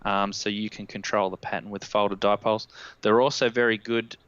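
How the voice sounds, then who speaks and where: Low, clear Australian man explaining. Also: flat delivery, normal speed, fairly bright tone, no echo, highs cut off. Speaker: guest expert. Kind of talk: technical explanation in a live stream. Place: indoor room at home, on a video call. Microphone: headset.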